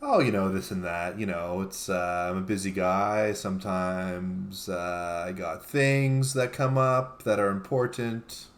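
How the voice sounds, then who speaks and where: A man's voice: deep voice, a little slow, hardly any pauses. A man co-hosting a comedic home show, answering dryly and evasively with lots of hesitations. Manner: dry, evasive, hesitant with many fillers. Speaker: co-host. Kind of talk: casual comedic banter.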